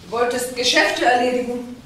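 A woman's voice: fairly high pitch, fairly even intonation, normal speed, sharp tone, roomy sound, slight background noise, poor audio. A woman playing a suspicious, hurt wife and boss in a crime drama, controlled and bitter. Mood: bitter, pleading. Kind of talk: dramatic dialogue acting.

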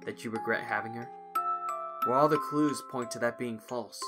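A man playing a bold, perceptive young male investigator in an animated fan audio drama, probing and theatrical. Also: medium pitch, normal speed, lightly acted. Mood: gentle, reassuring. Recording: loud music under the voice.

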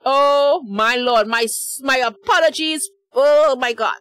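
A woman's voice: sits high, takes it slowly, a bit bright, clean tone, dry recording. A middle-aged woman with a Trinidadian accent hosting a reaction channel, chatting casually to viewers and laughing at her own slips. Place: small dark home studio. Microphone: large studio mic with headphones.

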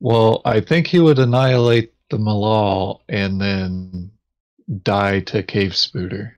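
A man's voice: lowish voice, steady tone, takes it slowly, a little mellow, lo-fi sound. A man chatting casually as he plays a board game, hesitantly thinking aloud. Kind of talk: casual game play chat.

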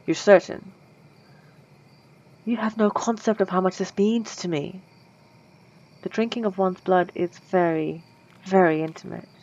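A woman's voice: fairly low pitch, measured pace, pauses often, muffled recording. Woman playing a possessive, old-fashioned vampire lady. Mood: courteous, eager. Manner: formal, old-fashioned phrasing; soft, intimate delivery. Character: refined, possessive centuries-old female vampire. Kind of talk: ASMR roleplay.

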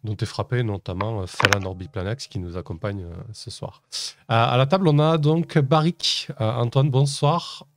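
A man's voice: medium pitch, normal speed. A man narrating as game master of a tabletop game, hesitant, with many fillers and restarts.

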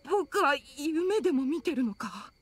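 Game role: awestruck small yokai lad, very high, lively pitch, halting delivery. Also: female voice. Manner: stammering, awed. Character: small starstruck one-eyed yokai boy.

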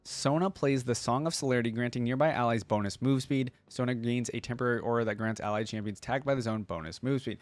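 A man's voice: medium pitch, fast, few pauses, no echo. A young man speaking as a reaction video host, informal and explanatory, close to a desk microphone. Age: young adult. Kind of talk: casual reaction commentary with some reading aloud. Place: small room indoors at a desk.

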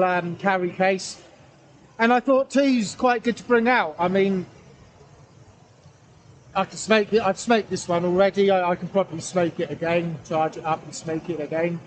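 A middle-aged man chatting casually for an outdoor vlog, rambling hesitantly and correcting himself as he goes. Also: sits high. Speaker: pipe-smoking vlogger. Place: outdoors in a wooded valley. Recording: outdoor ambient noise, camera at a distance.

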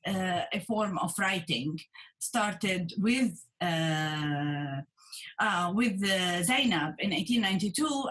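A middle-aged woman with a Lebanese accent, answering as an interview guest on a video call and pausing to search for words. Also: mid-range voice, fairly bright tone, slightly rough. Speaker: guest, university instructor. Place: book-lined room indoors, video call.

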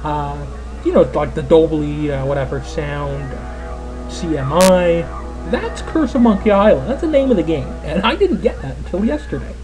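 A Canadian man in his twenties chats through gameplay commentary in a rambling way, full of fillers. His pitch swings lively up and down, and faint game music plays behind him. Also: moderate pace, rough recording. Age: 20s. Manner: chatty, rambling, lots of fillers. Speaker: let's player.

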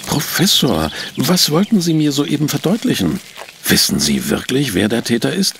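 An actor playing a curious, uneasy assistant to a detective in a German audio drama, questioning and eager. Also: male voice, mid-range voice, huge pitch swings, normal speed, gravelly, background noise. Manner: questioning, eager, slightly puzzled.

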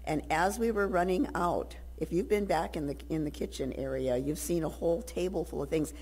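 An older woman speaks at a church lectern, her voice very low, dark and gravelly from hoarseness. She rambles warmly through her thanks, with a husky, breathy edge, picked up by the lectern microphone in the sanctuary. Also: a little fast. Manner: rambling, effusive, grateful. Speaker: congregation member giving announcements. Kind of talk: casual church announcement.

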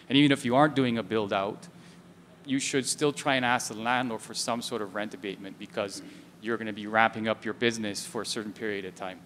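A man's voice: mid-range voice, fairly bright tone. A man in his forties with an American accent gives a practical seminar talk into a handheld microphone at a lectern. He talks conversationally, often restarting phrases and filling with "you know." His voice has a long reverb from the large hall, with a faint room hum underneath. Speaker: real estate lawyer giving a talk. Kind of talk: seminar presentation. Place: large indoor event hall with a stage and projector. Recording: reverberant hall, mic heard from a distance.

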